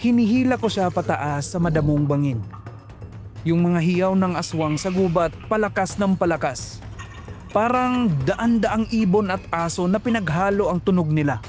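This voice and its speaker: A man's voice: sits high, expressive, moderate pace, darkish tone, soft background music. A man hosting a horror story channel who narrates in a tense, dramatic scripted style.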